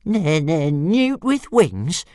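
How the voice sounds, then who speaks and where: A cheeky little storybook dragon, pitched very high above normal speech. The creature stutters on its consonants and stretches its words out, grumpy, boastful and always ready to taunt. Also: male voice, very animated, extremely slow, darkish tone, dry recording, clean studio sound, heavily acted. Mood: offended. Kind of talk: audiobook character voice.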